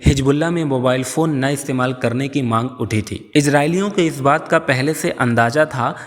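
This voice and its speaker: A man's voice: medium pitch, brisk. A man giving scripted explainer narration in an explanatory, storytelling manner. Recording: long reverb on voice.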